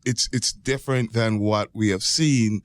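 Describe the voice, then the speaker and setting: A low-voiced man in his forties with a Jamaican accent talks as an academic guest on a podcast. He explains in a conversational way, often tagging points with "right?", and the recording is dry and close. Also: steady tone, unhurried, dry recording. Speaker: guest expert, geology professor.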